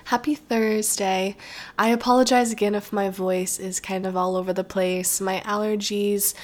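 A young woman talks through her solo podcast in a loose, rambling and reflective way. Her voice is a little nasal from allergies and sits at an ordinary middle pitch. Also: flat delivery, normal speed. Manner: chatty, rambling, self-reflective. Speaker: podcast host. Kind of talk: casual solo podcast chat.

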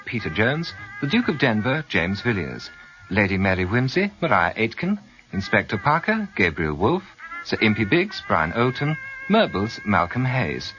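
A man reading scripted closing credits as a radio announcer. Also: fairly low pitch. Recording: dull vintage radio, music present.

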